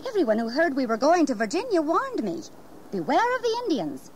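An actress playing an anxious, devoted, genteel young wife in a historical audio drama, fretful and hesitant. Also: female voice, high-pitched, wildly swooping pitch, a little fast, darkish tone. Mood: worried. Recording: noisy 1980s audio drama tape.

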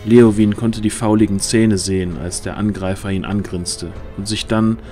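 A man's voice: on the low side, fairly even intonation, moderate pace, warm tone. A man narrating a fantasy audio drama in a dramatic, scripted storytelling style. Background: music.

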